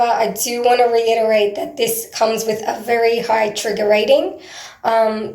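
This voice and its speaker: A woman with a hoarse, croaky voice, talking earnestly and hesitantly in a personal video diary, with frequent pauses. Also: on the high side, darkish tone. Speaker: vlogger. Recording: roomy echo.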